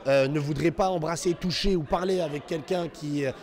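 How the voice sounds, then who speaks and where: A man's voice: on the high side, brisk, a little mellow. Earnest young man, stammering restarts. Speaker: passer-by interviewed. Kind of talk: street vox pop answer. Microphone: handheld mic held by interviewer.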